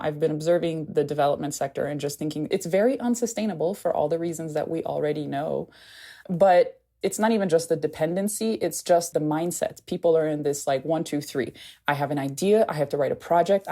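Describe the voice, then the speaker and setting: A woman from Haiti with an American accent, speaking as an anthropologist in a candid, animated interview full of "like". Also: low voice. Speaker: anthropologist and podcast guest. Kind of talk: conversational interview.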